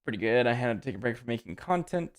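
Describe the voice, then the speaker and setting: Male streamer, thirties, relaxed and talkative, reacts to chat while planning moves aloud. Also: mid-range voice, fast talker. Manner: chatty, answers chat, thinks aloud about plays. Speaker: streamer playing a card game. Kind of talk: casual live-stream chat while playing.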